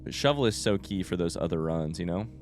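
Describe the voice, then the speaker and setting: Male streamer, low animated voice, slightly sick, rambling through a playthrough with light music behind. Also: a little fast. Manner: rambling, informal, mild swearing. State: voice affected by being sick. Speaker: video game streamer playing blind. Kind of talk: casual gameplay commentary, thinking aloud.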